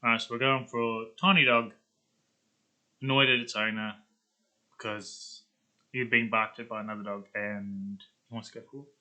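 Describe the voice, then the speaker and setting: A man's voice: fairly low pitch, flat delivery, moderate pace, pauses often, pushed and bright. A man hosting a video in a casual, rambling chat, thinking aloud as he goes. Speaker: video host.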